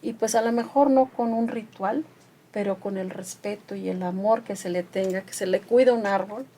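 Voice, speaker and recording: An older woman from the Mixe region speaks as a radio guest. Her delivery is animated, pressing harder when she makes a point. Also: medium pitch, normal speed. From Mixe region of Oaxaca, Mexico. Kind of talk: conversational radio talk.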